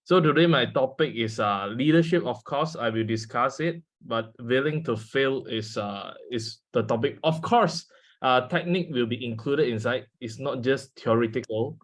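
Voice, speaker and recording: A Malaysian English-accented man in his twenties, a native Mandarin speaker, gives a guest talk over a video call. He pauses often on fillers and addresses his listeners directly. His voice sits at a middling pitch. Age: 20s. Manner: hesitant, many fillers, speaks to an audience. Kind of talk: online seminar talk.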